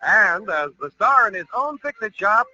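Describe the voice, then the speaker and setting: A man's voice: high-pitched, big pitch swings, moderate pace. A man announcing a scripted radio show in a polished 1940s style. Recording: noisy, muffled old radio broadcast.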